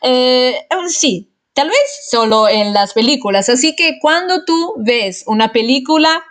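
A woman talks in a casual, friendly way, her voice sitting a touch high. She speaks a she is still learning, so she often pauses and corrects herself mid-word. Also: animated, a bit bright. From Italy. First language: Italian. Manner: chatty, hesitant with frequent fillers and self-corrections. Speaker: vlogger answering a viewer question. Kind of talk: casual chat.

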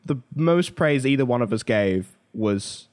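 A man with an average-pitched voice talks casually as a podcast co-host. His explanations ramble and halt, drifting into side points as he works through them. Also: lively intonation, unhurried, darkish tone, clean tone. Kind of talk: casual chat about films.

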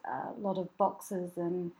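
A woman's voice: on the low side, flat delivery, a little slow, mellow, rough recording. Woman, podcast co-host, shivering, self-deprecating and full of laughs. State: shivering from the cold. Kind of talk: casual chat. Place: cold apartment full of moving boxes.

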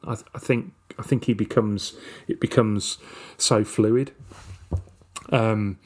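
A man's voice: fairly low pitch, fairly even intonation, takes it slowly, a little mellow. A man co-hosting a casual football chat, speaking hesitantly with many fillers and repeated words. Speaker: football podcast co-host. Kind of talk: casual match review chat.

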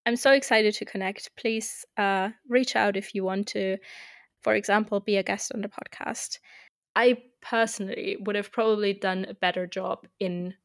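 A woman's voice: mid-range voice, steady tone, moderate pace, dry recording, studio quality. A woman in her twenties from Germany with a German accent, casually introducing her podcast as host in English, her second language. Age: 20s. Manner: chatty and upbeat, with fillers and laughs.

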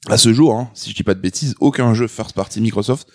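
A man with a cold, talking through a stuffy nose in a hoarse voice, presenting a video game news segment on a podcast. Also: lowish voice, fast, a little mellow. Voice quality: hoarse, husky. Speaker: co-host, columnist.

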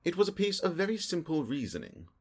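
A man acts out a keen male detective from a storybook, pitched up from a low natural voice. He is precise, dry and sure of himself, explaining things incisively with lively melody. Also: fast, heavily acted. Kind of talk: audiobook character voice.

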